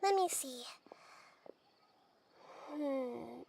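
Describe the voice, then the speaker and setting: A woman voicing a gentle, thoughtful young deity in a video game, speaking softly and with measured care. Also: sits high, expressive, creaky, background noise, full character voice. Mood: thoughtful, concerned. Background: music.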